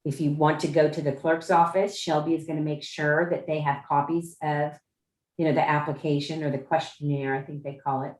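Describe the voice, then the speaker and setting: Low-voiced woman on an echoey video call. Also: flat delivery, fairly quick, darkish tone. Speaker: chair of a judicial nominating commission meeting. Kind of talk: informal procedural explanation in a meeting. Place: indoor room on a Zoom conference call. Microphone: video call microphone. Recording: roomy, echoing video-call audio.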